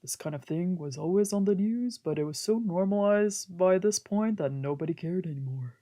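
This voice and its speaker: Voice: medium pitch, normal speed, warm tone. A streamer reading a visual novel's narration aloud, occasionally stumbling over a word. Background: background music from a video game.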